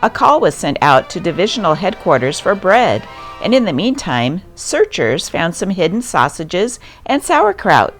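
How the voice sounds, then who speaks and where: A woman reads old newspaper stories aloud as a narrator. Her voice sits a little low and moves up and down with lively intonation, with music playing behind her. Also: measured pace. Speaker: host reading old newspaper articles. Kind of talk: scripted narration, reading news aloud.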